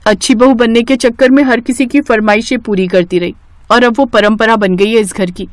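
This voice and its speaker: A woman's voice: on the high side, steady tone, moderate pace, dry recording, natural voice. A woman voicing an overworked young housewife in a family cartoon, plaintive and complaining. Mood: fed up.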